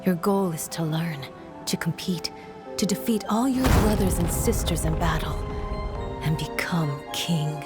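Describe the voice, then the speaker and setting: Woman doing cold controlling orphanage mother for a game, slow, a bit low, coolly soothing. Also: loud music behind the voice, natural voice. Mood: solemn, grooming. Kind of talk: video game voice acting.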